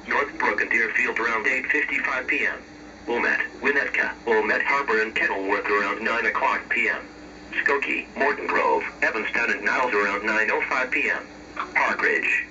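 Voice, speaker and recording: A synthetic man's voice delivers a scripted weather warning in a formal, flat announcer style. It comes over a radio broadcast with a dull, lo-fi sound and a faint bed of noise at an average male pitch. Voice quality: synthetic text-to-speech voice. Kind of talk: scripted emergency weather alert reading.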